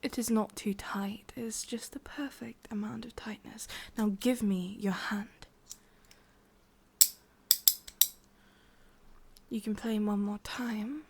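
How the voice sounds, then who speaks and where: A woman's voice: medium pitch, steady tone, unhurried, gravelly, a little mellow, slight background noise. A woman with an English accent playing a possessive, sadistic ancient witch in a whispered ASMR roleplay, coaxing and controlling. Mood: coaxing, commanding.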